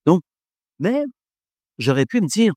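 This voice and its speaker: A man's voice: high voice, big pitch swings, slow pace, warm tone, dry recording, studio quality. A man gives a reflective talk on faith and philosophy to an audience, hesitating often and joking about himself. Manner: hesitant, many fillers, self-deprecating humour.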